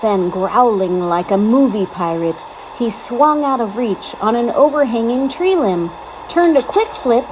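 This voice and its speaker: A woman reading a children's story aloud with expressive, scripted narration. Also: on the high side, unhurried, noisy background.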